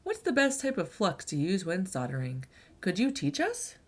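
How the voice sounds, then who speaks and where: A woman's voice: fairly low pitch, wildly swooping pitch, normal speed. A woman voicing young storybook unicorns in a pleading, earnest manner. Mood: apologetic, eager.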